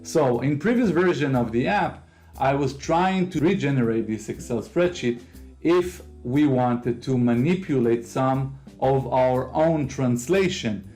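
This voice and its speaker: An Israeli-accented man talks through a screen recording, explaining each step in plain, slightly non-native English at an ordinary middle pitch. Quiet music plays faintly underneath his voice. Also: animated, unhurried. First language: Hebrew. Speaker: host of a software tutorial channel. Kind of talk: screen-recorded software walkthrough.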